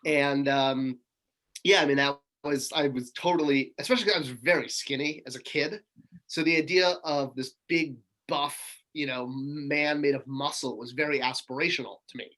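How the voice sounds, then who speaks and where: A middle-aged man speaks at an ordinary middle pitch, chatty and rambling, full of fillers and restarts. He is an interview guest on a video call, talking from a home room lined with toys. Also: normal speed, fairly bright tone. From Connecticut, USA. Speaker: interview guest, toy marketer. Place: home room with toy shelves.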